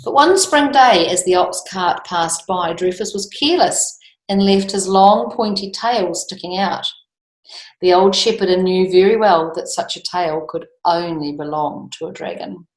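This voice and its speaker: Expressive woman reading stories in an echoey room. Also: lowish voice, unhurried. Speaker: storyteller for children. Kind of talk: storybook read-aloud with chatty asides.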